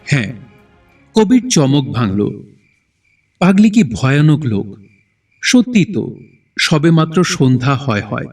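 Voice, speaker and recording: A man narrating a horror audio drama in a dramatic, suspenseful style with deliberate phrasing. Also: fairly high pitch, very animated, a little mellow, recorded from a distance, faint music behind.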